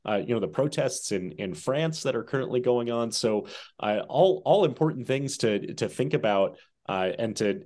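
A man with a mid-pitched voice co-hosts a podcast. He talks conversationally, with an enthusiastic and affirming manner, like someone guiding a friendly interview. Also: darkish tone. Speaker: podcast co-host.

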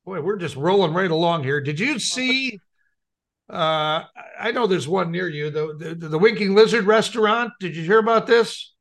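A man's voice: sits high, animated, normal speed, fairly bright tone. A middle-aged American man co-hosting a podcast in casual chat, with a hesitant, rambling way of talking. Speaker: podcast co-host.

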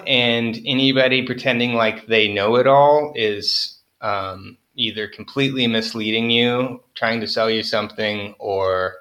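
A man's voice: mid-range voice, bright, forceful tone, rough recording. A young man, a farmer guest on an interview, chatting casually with lots of fillers and self-deprecating jokes.

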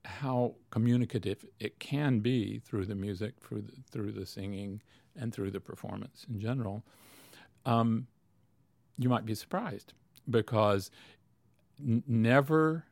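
A man's voice: lowish voice, unhurried, vocal fry, a little mellow, no room sound. A man answering interview questions about opera in a thoughtful way, with many fillers and restarts. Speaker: opera expert guest.

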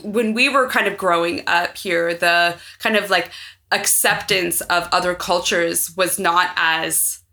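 A woman's voice: on the low side, steady tone, unhurried, bright, forceful tone. An American woman chatting as a podcast guest, rambling with lots of fillers like "like" and "you know.". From United States. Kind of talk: casual podcast chat.

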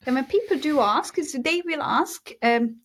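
A woman's voice: high voice, expressive, measured pace. A woman from Hungary with a mixed Hungarian accent, speaking as a guest in a casual interview about mindset and stretching words for emphasis. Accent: Hungarian mixed with British and American.

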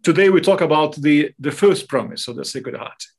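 A man's voice: a little high, expressive, moderate pace, gravelly, breathy edge. A man from Brazil, a native Portuguese speaker with a Brazilian accent, explaining things as a guest speaker in an interview. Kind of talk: interview talk, explaining devotional topics.

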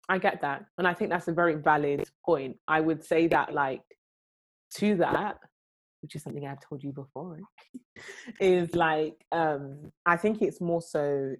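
A woman's voice: low voice, moderate pace, rough recording. A young woman in casual chat, talking at length in long runs with lots of fillers. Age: young adult. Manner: animated, talks in long runs with many fillers.

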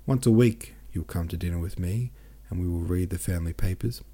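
A composed, firm widowed mother in an audiobook , read by a man. The voice is low and very dark. The reading stays calm and even. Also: brisk.

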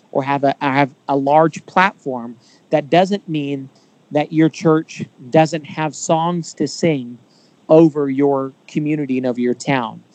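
A man's voice: fairly high pitch, a little mellow, poor audio. A man who is a worship leader, answering interview questions earnestly and explaining his points with homely examples.

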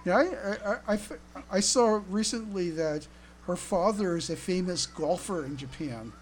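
A woman chatting casually as a fan podcast co-host, with a halting, stammering delivery. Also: lowish voice, lively intonation, a little hiss or hum. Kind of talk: casual fan chat with trivia.

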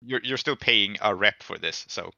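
A man running a tabletop game as game master, improvising narration in casual, halting speech as he thinks aloud. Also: lowish voice, vocal fry, sharp tone. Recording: echoey room, muffled dull audio.

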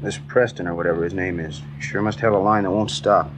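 An actor playing an earnest, inquisitive junior detective in a 1950s radio drama, asking questions in a casual, clipped way. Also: male voice, sits low, steady tone, fairly quick, lightly acted. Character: inquisitive, earnest male rookie detective partner. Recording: noisy 1950s radio recording, ambience.

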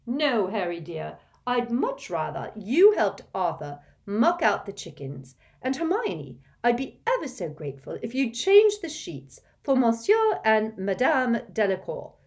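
A bustling wizard mother hands out chores in a brisk, fussing way, her voice set higher than the narration, as a woman reading the story puts her on. She sounds bossy but doting. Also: sing-song, a little mellow.

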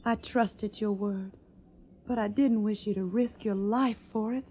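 A woman's voice: mid-range voice, steady tone, measured pace, mellow, slight background noise, close to an everyday voice. An actress playing a tender, troubled and stubborn young Tennessee woman in a Civil War radio drama, speaking earnest, wistful, folksy lines with a Southern accent. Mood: urgent, worried.

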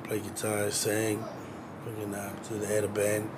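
A man's voice: lowish voice, monotone, a little slow. A man telling his story loosely, swearing constantly and laughing easily. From New Zealand. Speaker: musician guest. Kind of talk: casual interview chat. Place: balcony outdoors. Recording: outdoor balcony with background noise.

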